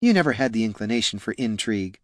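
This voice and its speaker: A man's voice: on the low side, animated, fast talker, dry recording, studio quality, natural voice. Man voicing a firm, cool adventuring woman. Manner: firm, curt. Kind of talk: audiobook character dialogue.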